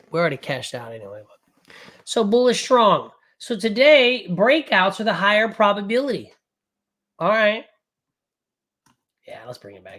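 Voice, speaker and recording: A man running a live trading class, recovering from a cold, talking in a loud, brash and profane way with lots of rambling asides. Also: fairly high pitch. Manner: casual, fast and rambling, with frequent "right?". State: recovering from a cold, voice not fully back. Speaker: day trading coach.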